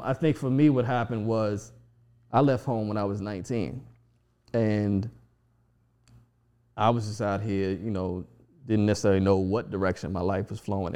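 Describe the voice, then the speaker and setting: A man's voice: on the low side, a little mellow, smooth. A man in his forties talking casually and reflectively as a guest, with frequent restarts and fillers. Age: 40s. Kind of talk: casual conversation.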